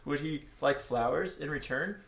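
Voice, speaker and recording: A man's voice: fairly high pitch, normal speed, vocal fry, a bit bright. A man co-hosting a casual comedic read-aloud of fan fiction, stumbling over words and correcting himself as he goes. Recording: roomy, echoey home recording.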